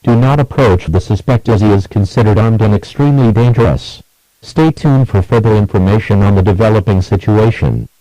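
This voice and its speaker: Low, dark male alert announcer. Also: no echo, low-quality recording. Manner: measured, even reading of lists and warnings. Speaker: emergency broadcast announcer. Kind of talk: scripted emergency alert announcement.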